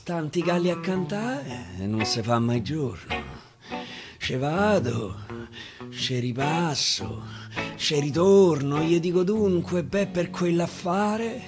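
A man playing a stubborn, hot-headed explorer who talks in a thick Roman dialect, blunt and fed up with waiting. The voice sits fairly high and moves slowly, and a guitar plays clearly underneath the radio recitation. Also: very animated. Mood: insistent. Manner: blunt, exasperated, dialect-heavy. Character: stubborn impatient male sailor explorer. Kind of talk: dramatic dialect poem recitation. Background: guitar music.

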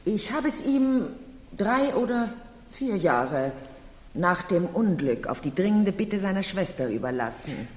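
An actress playing a vain, excitable middle-aged marchesa in a German radio play, theatrical and quick to take offence. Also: female voice, medium pitch. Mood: insistent. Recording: echoey hall with long reverb.

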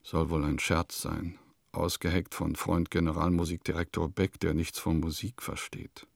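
An actor playing a wry chronicler in a radio play, reading out terse, note-like narration. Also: male voice, deep voice, flat delivery, moderate pace, creaky, no room sound, studio quality. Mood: muttering, disdainful.

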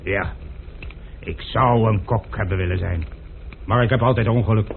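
An actor playing an unflappable, food-loving manservant with a fussy, deferential way of talking, in old Dutch radio drama style. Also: male voice, on the low side, moderate pace, gravelly. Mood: fussy, at ease. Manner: fussy, expressive, obsequious to his master. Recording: tinny, noisy old radio play recording.

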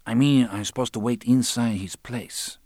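A man's voice: mid-range voice, fairly even intonation, darkish tone, dry recording, clean studio sound, voice pushed up, close to an everyday voice. A man doing a talkative hustling young guy. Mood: coaxing. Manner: quick, coaxing, street-style phrasing.

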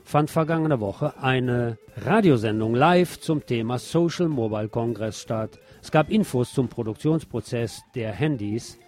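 A man's voice: mid-range voice, a little slow, hardly any pauses, a little mellow. Man reading radio commentary over music. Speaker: radio host. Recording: music bed under the voice.

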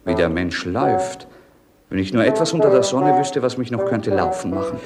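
An actor playing a melancholy, bored young prince with witty irony, in a theatrical 1950s German radio drama style. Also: male voice, medium pitch, lively intonation, moderate pace, loud music behind the voice, natural voice. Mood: wry. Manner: theatrical, ironic, declamatory. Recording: 1950s radio play recording.

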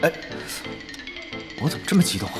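An actor playing an exasperated, excitable young male guild leader in an audio drama, grumbling and easily flustered. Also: fairly quick, close to an everyday voice. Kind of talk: audio drama acting, dialogue and inner monologue. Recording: music bed under dialogue.

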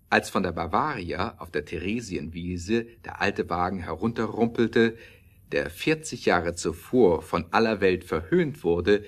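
Low-pitched male audio play narrator. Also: a bit bright.

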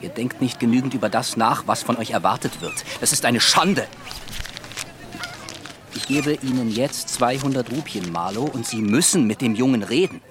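A man's voice: medium pitch, lively intonation, moderate pace, background noise, natural voice. A male actor playing a proud, indignant ship's captain in a radio drama, speaking bluntly and emphatically. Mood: indignant, scornful. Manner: haughty, emphatic.

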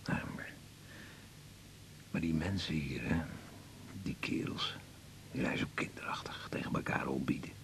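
An actor playing an older, ailing father in a radio drama, halting and confiding, fearful yet proud, with a gravelly voice that often drops to breathiness. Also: a bass voice, a bit bright, in a whisper, full character voice. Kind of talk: naturalistic radio drama acting.

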